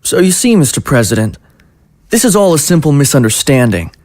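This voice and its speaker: An actor playing a smooth-talking, placating presidential adviser in an audio drama, formal and wordy. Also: male voice, a little high, very animated, brisk, darkish tone, dry recording, clean studio sound.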